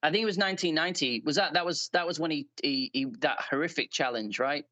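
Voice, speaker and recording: An English man from Leeds chatting as a guest, earnest and halting with many fillers and restarts. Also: fairly high pitch, pushed and bright, dry recording. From Leeds, England. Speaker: guest player. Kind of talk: casual chat.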